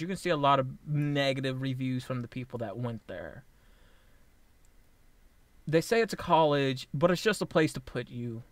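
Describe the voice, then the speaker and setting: A man in his late twenties with a slightly high voice talks straight into the mic in a casual vlog. He rambles, swears bluntly and trips over restarts. The sound is very dry and close, with no room echo. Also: a bit bright. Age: 20s. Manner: halting with frequent restarts. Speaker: vlogger. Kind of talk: casual personal vlog monologue.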